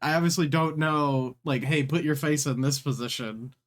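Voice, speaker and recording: A man's voice: fairly high pitch, normal speed. Tentative man in casual chat.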